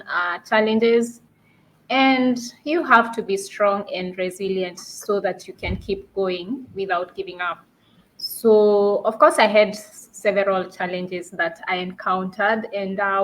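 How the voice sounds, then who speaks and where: A woman's voice: mid-range voice, fairly bright tone, rough recording. Panel guest, Kenyan woman, mid-range voice, earnest and reflective. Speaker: panel guest, online business owner. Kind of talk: casual panel talk.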